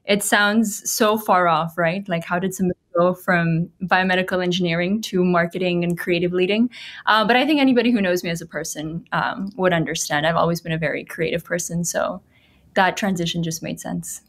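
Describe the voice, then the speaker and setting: A woman born in Pakistan and raised in Canada, answering interview questions in a relaxed, thoughtful way as a podcast guest. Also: lowish voice, a little fast. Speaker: podcast guest, creative lead. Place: small office room. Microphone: video call webcam.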